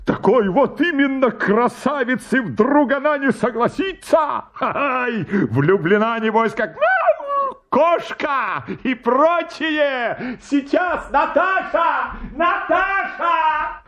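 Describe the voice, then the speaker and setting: A man's voice: very high voice, very animated, slow pace, a bit bright, heavily acted. An actor playing a fussy, effusive old landowner father who rambles tearfully in a comic radio play. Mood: overjoyed, tearful. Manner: gushing, tearful, rambling with pet phrases. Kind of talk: stage comedy acting in a radio play.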